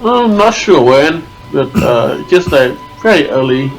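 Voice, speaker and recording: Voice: medium pitch, animated, a little slow, creaky, low-quality recording. Tired co-commentator chatting casually. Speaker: stream co-commentator. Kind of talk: casual chat. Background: faint game music.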